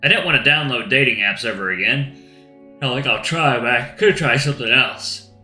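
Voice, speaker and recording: A man's voice: mid-range voice, moderate pace, pushed and bright. A man narrating a visual novel in the first person, in a reflective, subdued way. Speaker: let's-play narrator. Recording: roomy echo with faint guitar music.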